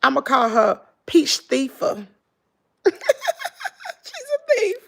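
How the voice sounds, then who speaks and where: A middle-aged woman with a Southern American accent, hoarse, chatting casually and gossiping with her viewers in a dramatic way. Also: very high voice, huge pitch swings, very slow, a bit bright. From Southern United States. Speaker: vlogger.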